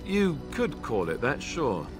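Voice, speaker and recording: An actor playing a self-deprecating young warrior knight, wry and conversational, in a video game. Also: male voice, mid-range voice, wildly swooping pitch, takes it slowly, music in the background. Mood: wry, explaining. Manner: wry, conversational, dryly sarcastic. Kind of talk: video game dialogue acting.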